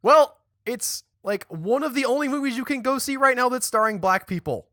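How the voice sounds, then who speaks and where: A man's voice: very high-pitched, moderate pace, dry recording, studio quality. A young man co-hosting a casual podcast, chatty and rambling, restarting sentences as he goes. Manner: chatty, animated, restarts and stumbles mid-sentence. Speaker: podcast co-host. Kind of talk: casual chat.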